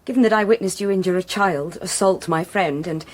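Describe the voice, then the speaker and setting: A woman's voice: lowish voice, brisk, a little mellow, lightly acted. An actress playing a formal, confrontational lady investigator with a clipped manner in a radio drama. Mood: stern, accusing.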